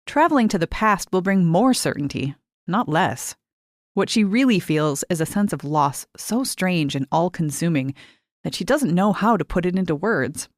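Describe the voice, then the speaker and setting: A woman reading short fiction as a scripted narration, measured and clearly articulated. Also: on the low side, animated, no echo, clean studio sound. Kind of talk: scripted audiobook-style narration.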